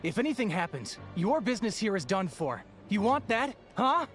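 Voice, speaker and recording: A man's voice: high-pitched, very animated, moderate pace, a bit bright, faint music behind. An actor playing a rude, menacing townsman in an anime game, confrontational in manner. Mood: threatening. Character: threatening, rude townsman in an angry mob.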